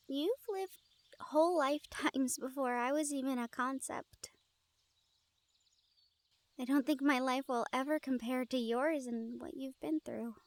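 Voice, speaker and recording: A woman's voice: high voice, no room sound. A woman acting in an intimate audio roleplay as an anxious, tender young woman from a family of hunters, speaking earnestly and hesitantly. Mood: wistful, self-effacing.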